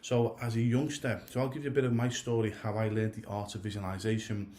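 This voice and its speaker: A man's voice: on the low side, flat delivery, quick pace, warm tone. Rambling man coach with a stuffy cold. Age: 30s or older. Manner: rambling, many restarts and asides. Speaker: goalkeeper coach. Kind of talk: casual spoken coaching talk to camera.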